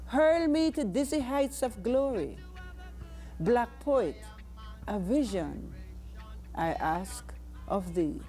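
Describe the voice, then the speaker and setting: An older Jamaican woman reciting poetry in a solemn, measured, declamatory manner. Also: a little high, expressive, warm tone, faint music behind. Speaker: poet.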